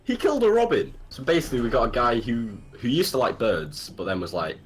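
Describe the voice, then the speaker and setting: A man's voice: mid-range voice, big pitch swings, fairly quick, a little hiss or hum. A man chatting casually and reacting with disbelief to what he hears, often repeating himself. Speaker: co-host reacting to the reading. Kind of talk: casual banter.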